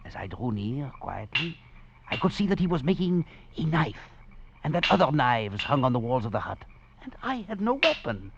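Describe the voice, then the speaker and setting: Swaggering French convict, dramatic swooping delivery. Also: male voice, mid-range voice, very animated, moderate pace, creaky, background noise. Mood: brooding, wry. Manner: theatrical, florid, wry chuckles. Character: swaggering escaped French convict, philosophizing rogue. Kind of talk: 1940s radio drama acting and narration. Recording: muffled old radio recording with music.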